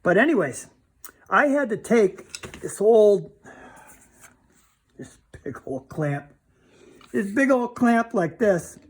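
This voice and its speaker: A man's voice: sits high, very animated, takes it slowly, long pauses, husky. A man giving a casual, plainspoken repair demonstration, thinking aloud informally as he handles parts. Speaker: DIY car repair demonstrator.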